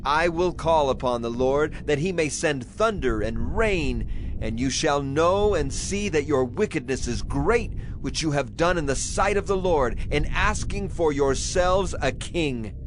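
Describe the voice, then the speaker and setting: A man's voice: fairly high pitch, expressive, unhurried, slight background noise, rough recording. An actor playing an aging, righteous prophet addressing his people in solemn, declamatory dramatized scripture. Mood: solemn, admonishing. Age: older. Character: aging male prophet, solemn, righteous, stern yet caring. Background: music.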